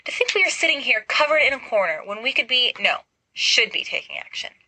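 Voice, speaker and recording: A woman plays a fiery teenage witch in a storybook reading, high and bright. She is snappy and bossy, giving orders with an exasperated, hysterical edge. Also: sing-song, brisk, breathy edge, heavily acted, low-quality recording. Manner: snappy, commanding, a tad hysterical.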